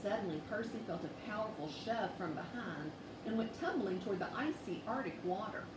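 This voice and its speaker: A woman reading a children's bedtime story aloud with expressive, measured delivery. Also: mid-range voice. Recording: echoey room, distant mic, noisy background.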